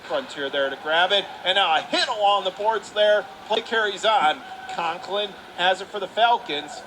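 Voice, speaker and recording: Male sports announcer, bright forceful tone, quick-fire clipped hockey calls over faint arena noise. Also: high voice. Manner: clipped running phrases, excited calls. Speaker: radio play-by-play announcer. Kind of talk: live hockey play-by-play commentary. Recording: noisy live rink recording.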